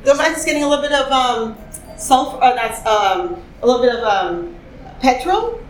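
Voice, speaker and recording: A woman's voice: high-pitched, lively intonation, normal speed. A woman hosting a wine tasting in a casual, enthusiastic way, asking the group questions as she goes. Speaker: host leading a wine tasting. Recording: echoey room with faint ambience.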